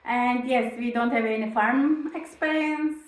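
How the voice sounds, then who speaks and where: A woman from Turkey, a native Turkish speaker, co-hosts a homestead vlog in easy, casual chat. She laughs often and talks in short, simple phrases. Her voice sits a little high and comes from some distance in a roomy space. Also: a little slow. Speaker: channel co-host.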